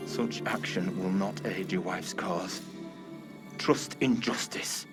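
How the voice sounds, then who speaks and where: A man's voice: sits low, slow pace, music in the background. A man playing a stern, menacing Puritan authority figure in a video game, speaking in controlled, archaic language. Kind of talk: dramatic video game cutscene acting.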